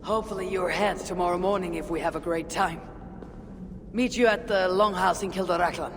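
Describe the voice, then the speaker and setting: A woman's voice: lowish voice, steady tone, brisk, background noise, lightly acted. An actress playing a confident, teasing young Viking raider woman in video game dialogue. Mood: reassuring.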